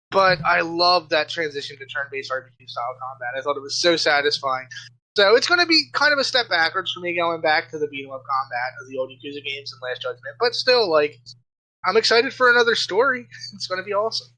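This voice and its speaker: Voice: mid-range voice, bright, forceful tone, poor audio. A podcast co-host chatting casually and enthusiastically about video games. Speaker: podcast co-host and game reviewer. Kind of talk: casual gaming podcast chat.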